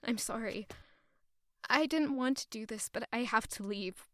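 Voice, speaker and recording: A woman plays a young noblewoman in a fantasy audio drama. Her voice is high and clear-edged, very bright, recorded dry. She comes across earnest, guarded and headstrong. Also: unhurried, no echo. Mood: subdued.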